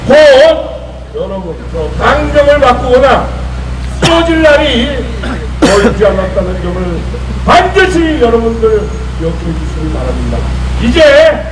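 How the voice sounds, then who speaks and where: High, strained man shouting a rally speech. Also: very animated. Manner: declamatory, emphatic, drawn-out phrases. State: slightly strained voice. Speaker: political rally speaker. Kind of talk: impassioned protest speech. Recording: echoey, noisy outdoor loudspeaker, distant mic.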